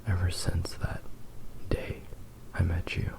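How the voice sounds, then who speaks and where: A man playing a possessive, doting fox-boy husband in an ASMR roleplay, murmuring intimately and haltingly. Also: deep voice, monotone, creaky, exaggerated voice, low-quality recording. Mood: adoring, soft.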